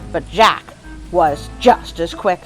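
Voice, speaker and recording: A woman hosting a story show, telling tales in an expressive, folksy, animated way to her listeners. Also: on the low side, takes it slowly. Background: music.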